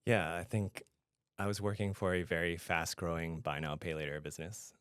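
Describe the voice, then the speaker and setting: A man's voice: low voice, level tone, moderate pace, fairly bright tone, clear, resonant tone, dry recording, studio quality. A middle-aged man from Canada speaking conversationally as a guest in an interview. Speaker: podcast guest, fintech product adviser and founder.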